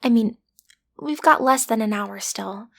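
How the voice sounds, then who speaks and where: Woman acting breathy lovestruck teen villainess. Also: fairly high pitch, unhurried. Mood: awkwardly menacing. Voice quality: breathy, often dropping to a whisper. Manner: hesitant, flustered, playfully menacing. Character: lovestruck teenage schoolgirl, villain's daughter, awkward amateur kidnapper. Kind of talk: audio roleplay acting, intimate direct address to listener.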